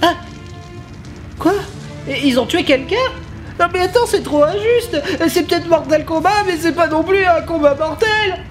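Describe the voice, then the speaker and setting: A man's voice: extremely high pitch, animated, a little slow, low-quality recording. A man voicing a naive, indignant kid who talks to a teddy bear in a comedy sketch. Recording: music behind the voice.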